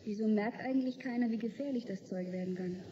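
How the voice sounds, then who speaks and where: An actress playing a sharp-tongued, skeptical young woman in a radio play, dry and probing in her delivery. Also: mid-range voice, fast, close to an everyday voice. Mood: insistent. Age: young adult. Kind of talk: German radio play dialogue. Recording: dull, slightly noisy radio drama recording.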